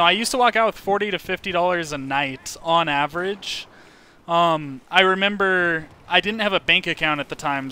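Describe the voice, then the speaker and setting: Bright high-voiced young man telling stories. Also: clear, resonant tone. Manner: animated, acts out quoted lines. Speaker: YouTube host. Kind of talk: casual storytelling.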